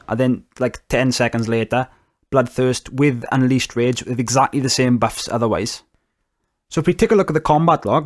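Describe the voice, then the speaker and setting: Chatty Welsh man explaining game mechanics. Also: mid-range voice. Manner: chatty, explanatory, with fillers and mild swearing. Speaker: gaming YouTuber. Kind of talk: casual game commentary.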